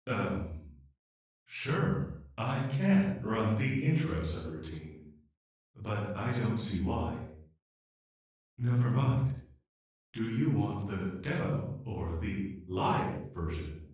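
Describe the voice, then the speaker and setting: A man voicing an anxious, polite, humanlike artificial intelligence in an emotional audio drama, speaking in a measured, formal, hesitant way. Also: lowish voice, very animated, darkish tone. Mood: reluctant, presentational. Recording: echoey, distant-sounding room.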